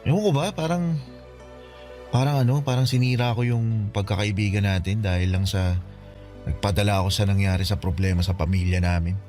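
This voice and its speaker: A man's voice: lowish voice, steady tone, a little fast, a little mellow, close to an everyday voice. A man acting a remorseful young friend in a radio drama, speaking hesitantly and apologetically, over background music. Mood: apologetic, hesitant.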